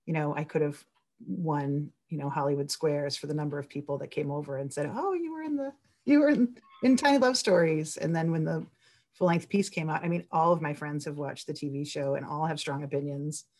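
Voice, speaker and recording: A woman's voice: low voice, brisk, darkish tone. A woman talking as a writer on a discussion panel, effusive and chatty, wandering into asides as she puts questions.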